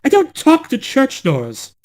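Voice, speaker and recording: A man voicing a vain, quick-tempered false bride from a fairy tale, flustered and stammering when challenged. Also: very high-pitched, huge pitch swings, darkish tone, voice pushed up, exaggerated voice. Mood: indignant, evasive.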